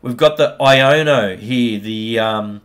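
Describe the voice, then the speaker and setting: A man, hosting a casual vlog where he opens trading card packs. His voice is slightly husky from a recent bug, and he talks in an upbeat, chatty way. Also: medium pitch, slow pace, fairly bright tone. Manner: upbeat, hype-building, chatty. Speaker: card-opening YouTuber host. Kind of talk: casual pack-opening vlog. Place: home room with colored lights. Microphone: studio mic on a desk arm.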